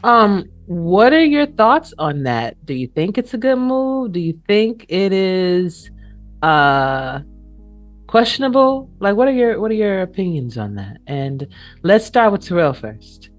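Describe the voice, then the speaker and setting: An animated woman hosts a casual talk show. Her pitch moves around freely as she puts questions to a panel of guests. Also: measured pace, soft background music.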